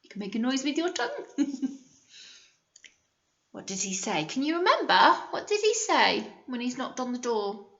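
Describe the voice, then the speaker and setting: A woman's voice: high voice, big pitch swings, moderate pace, long pauses, a bit bright. A woman, a teacher reading a storybook expressively to young children and prompting them with simple questions. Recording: echoey room, slightly muffled.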